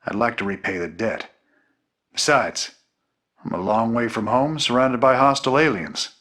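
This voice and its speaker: A man playing a gruff, loyal human space captain in a video game, plain-spoken and informal. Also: mid-range voice, normal speed, a bit bright, natural voice. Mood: determined.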